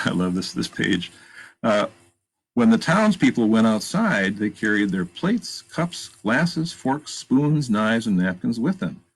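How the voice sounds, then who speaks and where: Storytime reader: man, gravelly voice with expressive swings, reading aloud and commenting on the pictures. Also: fairly low pitch, low-quality recording. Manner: pauses to point out pictures and ask listeners questions; animated, emphatic read-aloud delivery. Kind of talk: reading a picture book aloud with chatty asides.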